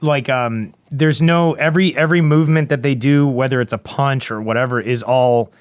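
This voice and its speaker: A man with an average-pitched voice joins a casual panel discussion. He speaks conversationally and a little haltingly, feeling his way through each thought. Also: smooth. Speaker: talk show panelist. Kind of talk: casual chat.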